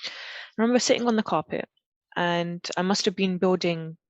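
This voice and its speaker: A woman from Pakistan, a podcast guest telling a personal story in a reflective, halting way with frequent restarts. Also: on the low side, no echo. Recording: dull, dry, lo-fi recording.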